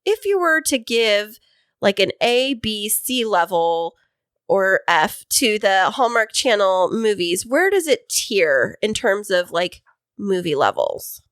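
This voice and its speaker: Woman co-host, animated and dry-sounding; bubbly, chatty interviewer. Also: mid-range voice, takes it slowly, no room sound. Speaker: podcast co-host. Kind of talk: casual interview chat.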